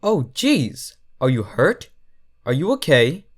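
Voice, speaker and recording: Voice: mid-range voice, sing-song, takes it slowly. A narrator voicing a kindly, flustered adult stallion in a cartoon fan story. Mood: flustered, concerned.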